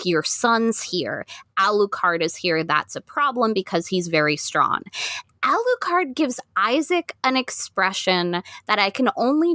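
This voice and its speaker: Bright, lower-pitched woman podcast co-host. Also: dry recording. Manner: enthusiastic, slangy, sweary. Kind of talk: casual podcast discussion.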